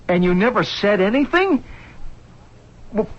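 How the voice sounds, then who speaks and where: Anguished determined man in 70s radio play, agitated and halting, muffled tape. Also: sits high, big pitch swings, full character voice. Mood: urgent, resolute. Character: anguished, determined man reborn in another man's body. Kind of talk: 1970s radio drama acting. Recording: dull 1970s radio recording.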